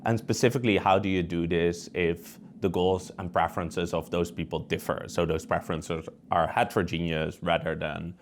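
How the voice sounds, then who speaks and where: A man's voice: low voice, level tone, normal speed, few pauses, a little hiss or hum. A man with a Dutch accent, a researcher giving conversational, explanatory answers as an interview guest. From Netherlands.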